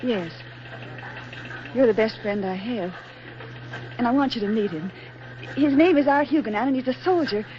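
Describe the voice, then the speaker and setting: A woman's voice: fairly high pitch, moderate pace, pauses often, lightly acted. An actress playing a sweet, eager young working woman in love in a 1940s radio drama, earnest and girlish. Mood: shy, earnest. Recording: dull 1940s radio broadcast recording.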